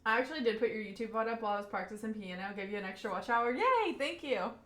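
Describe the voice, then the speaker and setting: A woman's voice: fairly high pitch, expressive, quick pace, fairly bright tone, reverberant. A young woman with a Southern US accent, chatting casually with her live audience as a streamer and laughing often mid-sentence.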